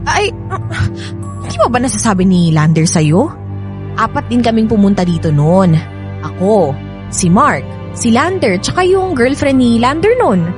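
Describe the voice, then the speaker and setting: A woman's voice: fairly high pitch, sing-song, normal speed, pauses often. An actress playing a friendly, apologetic and chatty young woman in a Filipino radio drama. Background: music.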